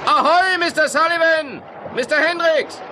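A man's voice: very high-pitched, a little slow, noisy background. An actor playing a confident, impatient yachtsman in an audio horror drama, brusque and given to projected calls. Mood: calling out.

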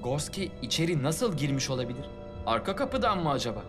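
An eager schoolboy adventurer played by a voice actor in a children's cartoon dub. The voice is confident and youthful, with mid pitch. Loud music plays behind it. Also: sing-song, fairly quick, natural voice. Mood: questioning. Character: plucky adventurous schoolboy hero. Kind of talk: dubbed children's animated adventure.

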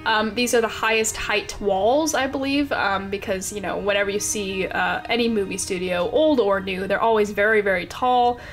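A woman's voice: medium pitch, moderate pace, hardly any pauses. Young woman, stuffy nose from a cold, chatty and rambling, giggly, faint music underneath. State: congested with a cold. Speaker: Sims speed-build creator. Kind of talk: casual voice-over narration of a game build.

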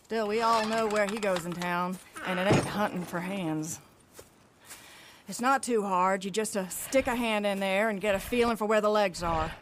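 A woman's voice: medium pitch, moderate pace, noisy background, lightly acted. An actress playing a brusque, sardonic American ranch wife and mother in a western video game, blunt and bossy. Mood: brisk, sardonic. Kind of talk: naturalistic video game cutscene acting.

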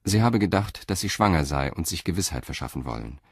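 Very deep-voiced male narrator. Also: animated, fairly quick, gravelly, slightly rough, no echo, clean studio sound. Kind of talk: scripted narration of a radio crime drama.

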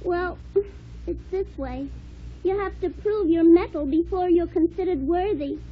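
An actress playing an eager young talking jackknife, boyish and earnest, in an old-time radio children's drama. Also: female voice, very high-pitched, a little slow. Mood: wistful, hopeful. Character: eager young boy jackknife, wistful and friendly. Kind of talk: 1940s radio acting. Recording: dull, noisy old radio recording.

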